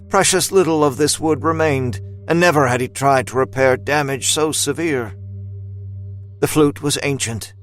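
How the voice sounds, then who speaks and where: A man narrating a scripted dramatic story with deliberate, literary phrasing. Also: mid-range voice.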